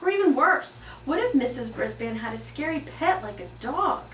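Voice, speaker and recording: A woman voicing a small, earnest pet hamster who tells his classroom story with expressive, emphatic delivery. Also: high-pitched, normal speed, voice pushed up. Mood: worried. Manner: expressive, exclaiming, emphatic read-aloud delivery. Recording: roomy echo, distant mic, faint noise.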